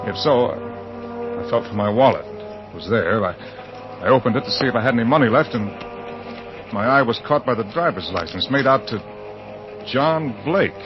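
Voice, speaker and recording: A man's voice: medium pitch, expressive, moderate pace, long pauses, close to an everyday voice. Man acting a bewildered, brooding young American amnesiac, suspense radio narrator, lo-fi vintage sound, music underneath. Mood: brooding, uneasy. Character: bewildered, anxious amnesiac young male mystery writer. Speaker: lead actor and narrator. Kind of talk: old-time radio drama acting, first-person suspense narration. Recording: dull old radio recording, music behind.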